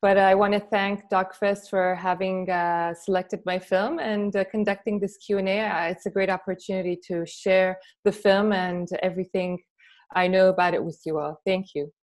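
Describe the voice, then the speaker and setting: Middle-aged woman from lebanon, medium pitch, film q&a guest, thoughtful, feels around for the right word. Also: steady tone, normal speed. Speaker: interview guest, documentary director and producer. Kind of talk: spoken interview answers in a film Q&A.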